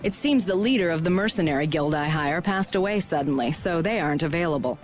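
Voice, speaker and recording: A woman playing a shrewd, confident merchant guild president in a video game, brisk and polished in delivery. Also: deep voice, animated, soft background music. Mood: businesslike. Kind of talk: video game dub acting.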